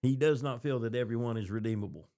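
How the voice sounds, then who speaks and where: A man with a distinctly creaky, gravelly voice at an ordinary pitch. He chats as a podcast co-host, feeling his way reflectively through what he thinks. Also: fast, mellow. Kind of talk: casual chat.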